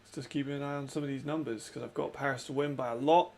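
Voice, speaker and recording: A man hosting a watch-along, giving casual live commentary with a raspy voice, chatty and laughing often. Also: medium pitch, fairly even intonation, fast, a little mellow, a little hiss or hum. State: hay fever.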